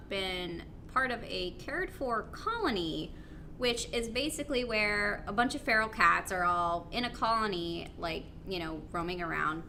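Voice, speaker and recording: A woman's voice: medium pitch, sharp tone, slight background noise. A woman chatting casually, explaining things informally in a hesitant, digressive way. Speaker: panelist on a fan Q&A show.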